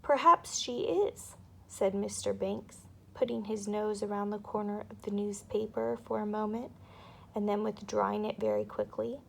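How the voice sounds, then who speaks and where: A woman narrating a children's story aloud with expressive, dramatic delivery. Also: mid-range voice, moderate pace, gravelly.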